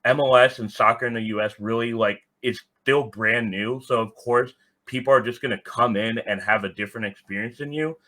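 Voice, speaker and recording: A man's voice: mid-range voice, brisk, bright, forceful tone. A man giving casual, opinionated talk as a podcast guest, blunt in his manner. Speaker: podcast guest, soccer fan.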